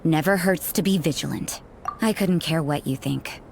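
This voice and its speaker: A low-voiced, sardonic young nun. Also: female voice, creaky, a little mellow. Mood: coolly aloof. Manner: dry, unhurried, aloof. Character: cool, sardonic, suspicious young nun with a menacing edge. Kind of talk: video game voice acting. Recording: game audio with music behind. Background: game music.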